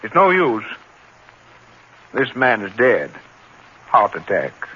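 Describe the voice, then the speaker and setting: A man's voice: medium pitch, very animated, takes it slowly. An actor playing a commanding man taking charge in a 1930s radio drama. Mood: urgent, grave. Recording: dull, hissy 1930s radio recording.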